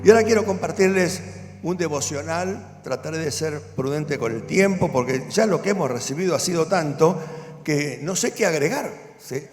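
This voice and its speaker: A man's voice: fairly high pitch, expressive, moderate pace. An older man, a pastor telling his life story in earnest, storytelling fashion, rising to firm conviction at times. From Argentina. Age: 70s. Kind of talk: personal testimony at a church event. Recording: long hall reverb with music behind. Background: music.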